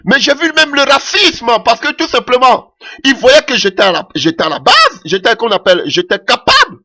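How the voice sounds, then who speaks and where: A man's voice: very high-pitched, big pitch swings, moderate pace, pushed and bright. A man preaching a sermon in French that is not his mother tongue, impassioned and punctuating his points with "Alléluia".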